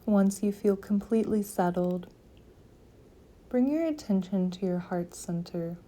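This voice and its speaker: Woman doing a yoga nidra thing, really slow and soft mellow voice, calm, lots of pauses. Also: mid-range voice. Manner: calm, soothing, gentle instruction with long pauses. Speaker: meditation guide. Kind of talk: guided yoga nidra meditation.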